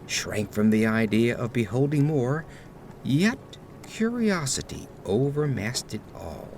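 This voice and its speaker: A man tells a gothic horror story slowly and dramatically, with suspenseful phrasing. His voice is fairly low and gravelly, and faint ambient noise sits underneath. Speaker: narrator. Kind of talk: dramatic scripted narration of gothic horror fiction. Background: ambient sound effects.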